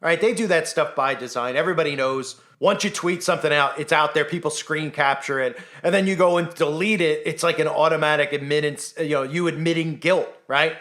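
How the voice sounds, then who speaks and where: A man's voice: on the high side, brisk, hardly any pauses, fairly bright tone. A man talking through a conspiracy commentary monologue, insistent and rhetorical, now and then acting out imagined voices. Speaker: commentary channel host.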